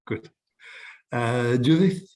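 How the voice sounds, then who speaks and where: A man's voice: mid-range voice, takes it slowly, darkish tone. A man with a mid-range voice leads an online class as its instructor. He talks in an informal, conversational way and addresses students directly. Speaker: course instructor.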